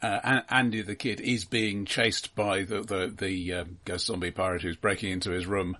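A man casually discussing a film in a measured, halting, thoughtful way. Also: low voice, fairly even intonation, sharp tone. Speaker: film podcast host.

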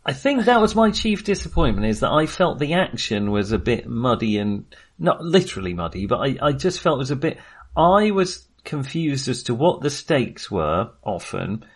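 A man's voice: mid-range voice, normal speed, husky. A British man co-hosting a film podcast, chatting casually and expressively, often acting out imagined lines. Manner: expressive, acts out imagined quotes.

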